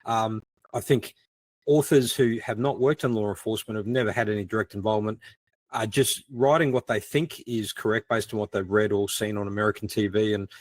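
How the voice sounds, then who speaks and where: A man's voice: fairly low pitch, steady tone, fairly quick, no room sound. A middle-aged man with an Australian accent, explaining things conversationally as a podcast guest. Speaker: podcast guest, ex-detective crime writer.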